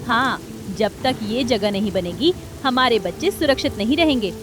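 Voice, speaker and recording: A woman's voice: fairly high pitch, animated, normal speed, over music, lightly acted. A woman voicing a bold village woman who speaks with defiant earnestness for her children. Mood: earnest.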